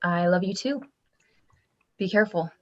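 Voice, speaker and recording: A woman's voice: on the low side, moderate pace, highs cut off, close to an everyday voice. An actress playing a protective, worn wife and mother in a survivor camp, read as a drama table read. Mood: tender, teasing.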